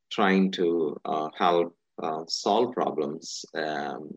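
A man from India with an Indian accent, answering interview questions about himself in halting, fragmented phrases. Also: low-pitched, no room sound, muffled recording. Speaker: interview guest, business executive.

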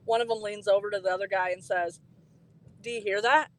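A woman's voice: mid-range voice, steady tone, fairly quick, poor audio. A woman from Southern Illinois with a thick Midwestern accent tinged with Southern, chatting casually as a caller. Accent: thick Midwestern American with some Southern. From Southern Illinois, United States. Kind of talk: casual chat.

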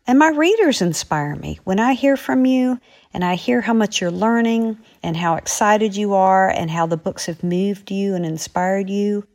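A woman's voice: mid-range voice, normal speed, a little mellow. A woman author speaking in a friendly way to young listeners about her work. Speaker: author, regular segment guest.

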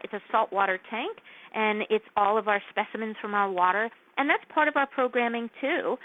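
An earnest, enthusiastic woman talks as a radio guest about her work at a museum, and she laughs easily. Her voice comes through a thin, tinny phone line. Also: fairly high pitch. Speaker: museum executive director, guest. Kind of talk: radio interview, casual chat.

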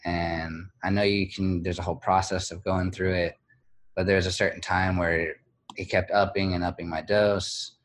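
A man speaking as an interview guest, candid and reflective, pausing often with fillers and restarts. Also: sits low, level tone. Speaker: guest, blogger.

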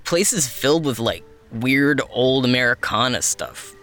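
A man's voice: medium pitch, big pitch swings, unhurried, sharp tone, faint music behind, full character voice. A man acting in an audio drama as a chatty, jumpy young team member with a casual, rambling, slangy delivery. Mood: puzzled, joking.

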